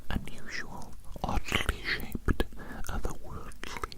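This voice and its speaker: The voice is a breathy, unvoiced whisper from start to finish. It belongs to an ASMR roleplayer who speaks gently and soothingly. Phrases are often murmured again, as if to lull the listener. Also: takes it slowly. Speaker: ASMR performer playing an examiner measuring a little creature.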